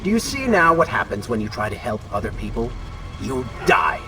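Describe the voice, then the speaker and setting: An actor playing the sardonic, ruthless dark alter ego of a warrior prince in a video game, with a mocking, sarcastic manner. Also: male voice, medium pitch, sing-song, normal speed, music in the background, lightly acted, poor audio. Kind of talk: video game cutscene acting.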